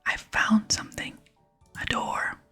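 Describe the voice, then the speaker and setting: A woman reads an earnest, courteous hero from a fantasy game, eager to help. It is delivered as a soft, breathy whisper with a bright edge and quiet music beneath. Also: slow. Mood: friendly, curious. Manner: polite, earnest. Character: eager, polite young adventurer, the game's player hero. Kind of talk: whispered ASMR reading of game dialogue.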